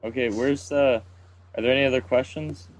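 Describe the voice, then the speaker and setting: A man's voice: medium pitch, fairly even intonation, moderate pace. A teenage man chatting offhandedly on a casual group podcast.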